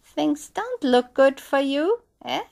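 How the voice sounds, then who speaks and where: A woman's voice: high-pitched, big pitch swings, slow pace, vocal fry, a little mellow, voice pushed up, exaggerated voice. A woman voicing a sly little devil man in a storybook tale, coaxing and full of mock sympathy. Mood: mock pity. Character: little strange green-suited devil man, sly tempter. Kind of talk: storybook character voice.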